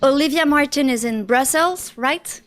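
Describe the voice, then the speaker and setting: A woman moderating a student event, introducing guests haltingly with many restarts. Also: sits high. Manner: hesitant, halting, lots of uh and restarts.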